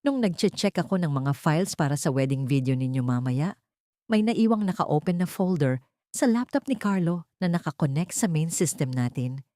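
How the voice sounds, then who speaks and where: Alarmed loyal girlfriend in an audio story voiced by a woman, pleading, animated, dry sound. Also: sits low, normal speed, no echo. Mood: urgent, alarmed. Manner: urgent, pleading. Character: alarmed loyal female best friend, urgent and protective.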